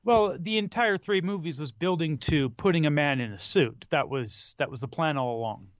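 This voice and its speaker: A man chatting casually as a podcast co-host, halting and searching for words, with dry tongue-in-cheek humor. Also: on the high side, no echo, highs cut off. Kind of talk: casual film discussion.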